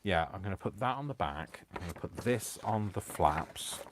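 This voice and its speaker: A man's voice: low voice, expressive, moderate pace, background noise. A man hosting a live crafting stream, chatting to himself as he works and poking fun at his own mistakes. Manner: self-mocking, talks to himself. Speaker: crafting video host.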